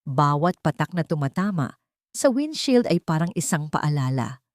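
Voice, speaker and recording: A woman with a Filipino accent reading a dramatic story as an audiobook narrator, solemn and expressive with deliberate pauses. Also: low voice, no room sound, studio quality.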